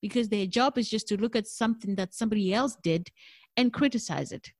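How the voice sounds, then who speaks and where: A woman's voice: medium pitch, fairly quick, no echo, studio quality. A middle-aged woman from South Africa whose first language is not English, speaking candidly and thoughtfully in an interview as a jazz singer. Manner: thoughtful, candid, laughs easily. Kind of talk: conversational interview.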